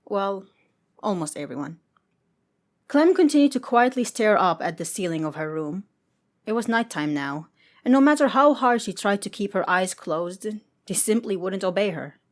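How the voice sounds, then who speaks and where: A woman reads prose fiction aloud as a narrator. Her voice sits at an average pitch for a woman. The delivery is scripted storytelling, in the manner of an amateur audiobook. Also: lively intonation, moderate pace. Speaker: podfic narrator.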